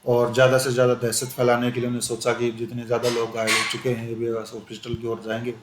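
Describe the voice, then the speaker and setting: A man's voice: mid-range voice, monotone. A male teacher giving a conversational exam-prep lecture, switching between Hindi and English and repeating "okay" as a filler. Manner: conversational, repeats "ठीक है" often, switches into slow English phrases. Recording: echoey room with faint ambient noise.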